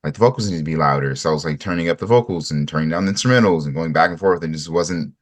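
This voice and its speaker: A man's voice: a bass voice, racing. A man learning audio mixing, chatting casually on a video call in a rambling, easygoing way. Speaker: student.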